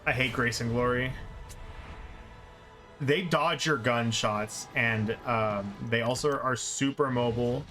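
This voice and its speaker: A man's voice: mid-range voice, takes it slowly, sharp tone. A man streaming a game with casual, explanatory commentary and exasperated asides. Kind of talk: casual live gameplay commentary. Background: game music.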